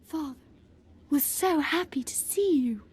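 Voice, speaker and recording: An actress playing a polite, adventurous young noble daughter in a video game, breezy and well-bred. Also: female voice, sits high, unhurried, a little mellow. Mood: delighted, breezy. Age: young adult.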